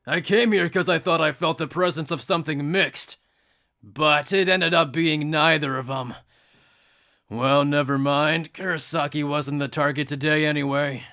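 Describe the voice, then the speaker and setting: A man's voice: a little high, steady tone, normal speed, sharp tone. A man voicing a brash, disdainful, aggressive spirit warrior in an anime fan dub. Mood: irritated, dismissive. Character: brash, aggressive blue-haired male Arrancar spirit warrior. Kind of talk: anime fandub acting.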